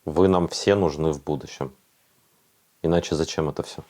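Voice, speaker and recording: A young man from Siberia speaking quietly and candidly in a documentary interview, his voice tired and often trailing off. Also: very deep voice, fairly even intonation, normal speed. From Siberia, Russia. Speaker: volunteer interviewee.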